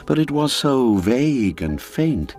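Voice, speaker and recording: A man narrating a literary tale in a theatrical, expressive and unhurried storytelling manner. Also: medium pitch, soft background music.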